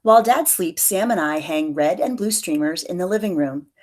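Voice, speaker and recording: A woman with a slightly low voice reads a picture book aloud. She tells the story expressively, as a teacher would to young pupils, lightly acting out the dialogue. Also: expressive, moderate pace, noisy background.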